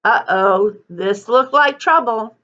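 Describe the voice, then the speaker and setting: A woman narrates a children's story in a bright, clearly projected voice at an unhurried pace. Her emphasis is deliberate and dramatic, and the recording is slightly dull and lo-fi. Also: on the high side. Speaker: teacher reading a book aloud.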